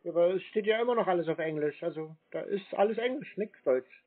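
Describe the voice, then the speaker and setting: A man in a phone conversation, answering in a terse, stubborn, deadpan way. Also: high voice, quick pace. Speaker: scam-baiting call recipient. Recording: narrow-band phone-like sound.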